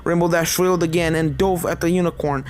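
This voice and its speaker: A man's voice: a little high, steady tone, fairly quick. A man reading a horror story aloud as a dramatic narrator.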